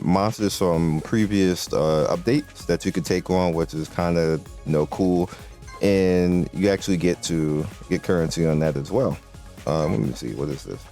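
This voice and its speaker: Low-voiced man rambling through gameplay. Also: warm tone. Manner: rambling, loose, with many fillers and restarts. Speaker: gaming channel host. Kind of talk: casual gameplay commentary. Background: game music.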